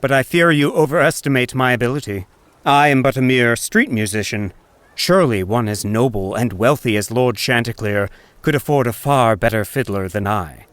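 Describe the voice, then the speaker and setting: An actor playing a suave, wily street fiddler with a resonant voice and courtly, flowery, mock-humble speech in an audiobook. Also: male voice, medium pitch, normal speed, fairly bright tone, no room sound, close to an everyday voice. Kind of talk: audiobook character acting.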